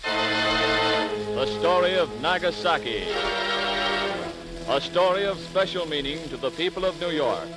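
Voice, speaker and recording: A man's voice: a little high, lively intonation, a little slow, long pauses. An American man introducing a 1940s radio program in a dramatic, declamatory announcer style. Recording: old crackly 1940s radio recording. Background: music.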